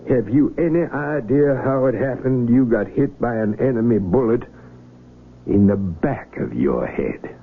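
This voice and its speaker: An actor playing a calm, authoritative, middle-aged army surgeon major with an American accent, fatherly and measured, in 1970s radio drama style. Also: medium pitch. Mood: probing, evasive. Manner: measured, soothing, probing. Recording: tinny, lightly noisy old radio broadcast.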